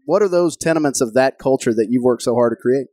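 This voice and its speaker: A male host with a mid-range voice asks his guest questions in a relaxed chat. He is curious, and now and then teases. The recording is close and dry. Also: mid-range voice, quick pace, dry recording. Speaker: interviewer, host. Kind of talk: casual conversational interview.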